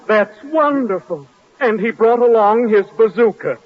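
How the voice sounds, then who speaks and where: An actor playing a prim, easily flustered show official in a 1940s radio comedy. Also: male voice, high voice, lively intonation, a little slow, narrow, tinny audio, crowd noise. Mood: prim, reluctant. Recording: thin 1940s radio, live audience.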